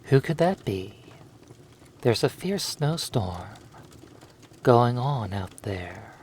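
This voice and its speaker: A man narrating a hypnosis roleplay in a soothing, lulling manner, leaving long pauses between phrases. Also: mid-range voice, a little hiss or hum.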